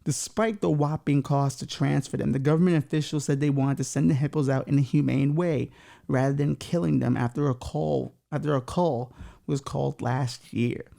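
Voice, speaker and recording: A man's voice: medium pitch, fairly even intonation, normal speed, creaky, warm tone. A man hosting a comedy podcast, reading news stories aloud with stumbles and crude, mocking jokes. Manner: crude, mocking, swears freely. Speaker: podcast host. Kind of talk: comic riffing on news stories.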